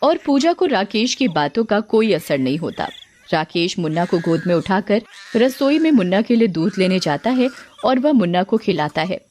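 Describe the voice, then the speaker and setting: A woman's voice: medium pitch, big pitch swings, moderate pace, a little mellow, slight background noise. A woman reading a scripted story narration for children.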